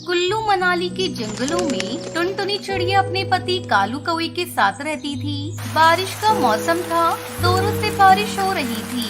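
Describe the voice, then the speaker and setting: A woman's voice: very high-pitched, very animated, a little slow, over music. A woman narrator reading a scripted story for children.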